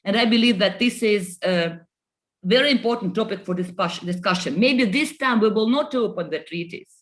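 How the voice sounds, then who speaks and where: Woman politician, earnest speech, lots of uhs and restarts. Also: medium pitch. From Estonia. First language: Russian. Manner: earnest, halting with fillers and restarts. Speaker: member of parliament. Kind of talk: conference speech.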